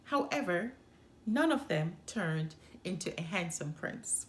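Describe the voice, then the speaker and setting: A woman hosting story time, reading a children's storybook aloud in an expressive narrating style. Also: fairly low pitch, takes it slowly, slight background noise. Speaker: storytime host.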